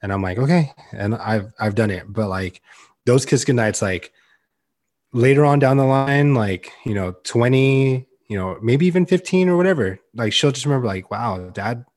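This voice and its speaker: A young man on a podcast, talking earnestly and loudly in a casual chat, with many "like"s. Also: mid-range voice, animated, moderate pace. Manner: loud, earnest, heavy use of "like". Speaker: podcast co-host.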